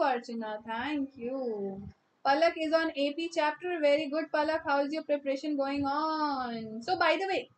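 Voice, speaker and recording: A young woman teaching maths in a live online class, with an Indian English accent and a high, bright voice. She talks loudly and chattily, greeting students by name and mixing Hindi phrases into her English. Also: rough recording.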